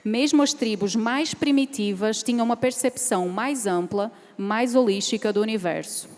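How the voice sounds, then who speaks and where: A woman's voice: fairly high pitch, moderate pace. Woman speaking in a reverberant hall. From Portugal. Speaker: panel speaker. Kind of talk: seminar talk with a read passage. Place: large event hall.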